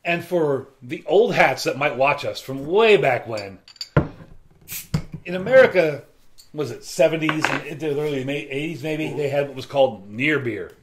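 Guy in his 30s co-hosting a beer review, calm and explainy, a bit dry. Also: fairly high pitch, moderate pace, background noise. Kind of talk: casual chat. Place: small room lined with beer shelves.

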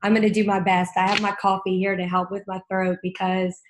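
A woman in her thirties, a vet hosting a casual video call Q and A from a home office, slightly hoarse as she loses her voice and talking in a chatty, unhurried way. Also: medium pitch, flat delivery, background noise. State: losing her voice, a bit hoarse. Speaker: cat care vet host. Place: home office with bookshelves, indoors. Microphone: laptop webcam on video call.

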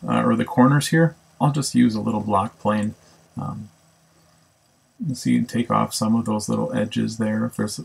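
Male shop teacher, raspy low voice with heavy fry, easygoing, self-correcting narration. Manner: relaxed, thinks aloud with many ums and self-corrections. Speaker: woodworking instructor. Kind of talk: casual how-to narration. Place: home woodshop.

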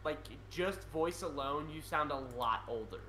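Animated, bright-voiced man bantering. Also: a little high, normal speed, slight background noise. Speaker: streamer hosting an online card game with friends. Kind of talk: casual profane gaming banter.

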